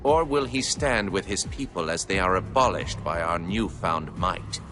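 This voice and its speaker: A man's voice: sits low, moderate pace, close to an everyday voice. An actor voicing a grave, anxious alien male scribe in a solemn, formal narration for a video game cutscene. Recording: game soundtrack with music underneath.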